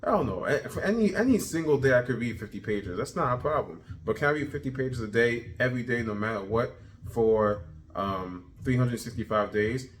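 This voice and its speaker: A thirty-year-old man talking casually in a self-improvement video journal, energetic and repeating himself as he pushes his point. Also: mid-range voice, moderate pace, slight background noise. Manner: energetic, repetitive, self-motivating. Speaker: vlogger.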